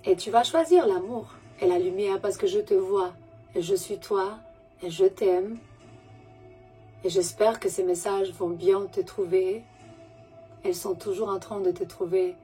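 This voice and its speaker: A woman giving a gentle, soothing spiritual message to her viewers in a language that is not her first, pausing often and correcting herself. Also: medium pitch, faint music behind. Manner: gentle, soothing, halting with pauses and self-corrections; speaks French as a second language. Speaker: spiritual messenger.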